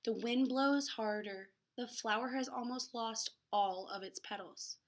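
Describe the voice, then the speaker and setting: A woman's voice: a little high, unhurried. A woman reading a picture book aloud to children expressively, with dramatic emphasis and casual, chatty asides. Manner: dramatic emphasis, gasps and exclamations.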